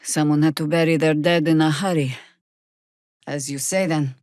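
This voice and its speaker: A woman playing a wry, battle-worn middle-aged druid in a video game, with sardonic, world-weary, deliberate phrasing. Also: low-pitched, fairly even intonation, a little mellow, no echo, studio quality, lightly acted. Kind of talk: video game character acting.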